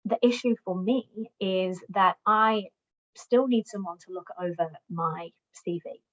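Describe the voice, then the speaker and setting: A woman presents job search advice in an upbeat, encouraging manner, her voice at a middling pitch. The sound is somewhat muffled and lo-fi, as though coming through a video call. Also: a little slow. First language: English, also Welsh. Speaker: recruitment platform customer success manager giving a job search talk. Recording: dull lo-fi recording.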